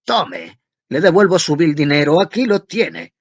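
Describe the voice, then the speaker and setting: A man reading aloud and voicing a proud, hot-tempered young student in a strained, high outburst. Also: a little slow, dry recording, dull audio. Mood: indignant.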